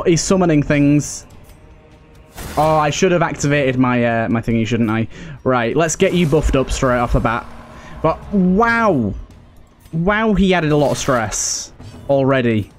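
A man with a fairly high voice talks through a video game as its host. He is chatty and thinks out loud in a mildly understated way. Game music plays behind him. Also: expressive, measured pace. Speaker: let's play host. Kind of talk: casual gameplay commentary.

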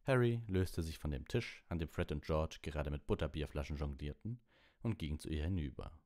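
A man's voice: extremely low pitch, animated, normal speed, darkish tone. A man narrating a storybook as an audiobook reader.

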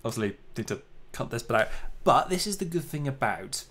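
A man's voice: medium pitch, very animated, a little fast, fairly bright tone. A man chatting casually while livestreaming his 3D modeling work, self-deprecating and prone to little exclamations.

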